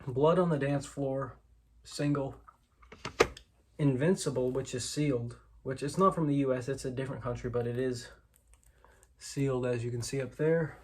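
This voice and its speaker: A man's voice: medium pitch, moderate pace, long pauses. A man hosting an informal, unscripted show-and-tell of a collection, hedging and correcting himself as he goes. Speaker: host, collector showing their collection. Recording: clear background noise with clicks and clatter.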